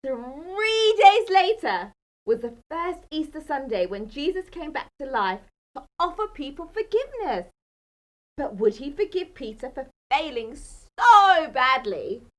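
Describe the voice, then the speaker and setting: A woman's voice: high-pitched, sing-song, measured pace, a bit bright. A woman telling a children's bedtime Bible story in a dramatic, emphatic manner. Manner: dramatic, emphatic, asks the listeners questions.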